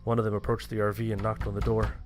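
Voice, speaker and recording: A man's voice: on the low side, level tone, fast talker, darkish tone, soft background music. A man reading a scripted first-person horror story as its narrator.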